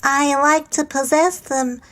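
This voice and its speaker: A woman voicing a creepy possessed doll that talks with flat, eerie childlike simplicity. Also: high-pitched, slow.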